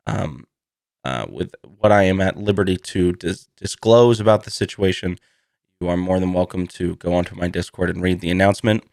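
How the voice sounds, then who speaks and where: A man hosting a solo podcast in a casual, rambling, self-deprecating way, slightly hoarse from a lingering cold. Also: fairly low pitch, no room sound, clean studio sound. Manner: rambling, self-deprecating, laughs through his words. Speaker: podcast host.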